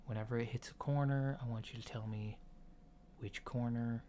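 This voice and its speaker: A soft-spoken man doing an ASMR roleplay as a calm, hesitant alien doctor, matter-of-fact and clinical. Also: mid-range voice, normal speed. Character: calm, mildly awkward alien doctor giving a checkup. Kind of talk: ASMR medical checkup roleplay. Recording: lo-fi home recording with background noise.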